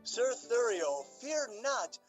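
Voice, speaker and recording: A woman's voice: a little high, sing-song, slow, faint music behind. A woman playing a scheming, pompous older Duke in a stately, theatrical Shakespearean radio drama. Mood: stately, confiding.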